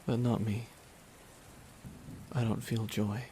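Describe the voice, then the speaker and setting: Man playing a low, brooding, grieving supervillain. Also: little pitch movement, dark tone, slight background noise. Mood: somber regret. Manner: slow, deliberate, brooding monologue with long pauses. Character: grieving, resigned male supervillain, solemn and regretful. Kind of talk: dramatic ASMR roleplay monologue. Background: rain falling.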